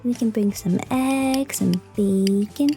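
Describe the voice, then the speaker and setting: A woman's voice: medium pitch, slow, mellow. A woman voicing an eager, curious young schoolgirl in an audio drama. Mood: eager, excited. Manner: eager, childlike. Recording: music under the voice. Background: music.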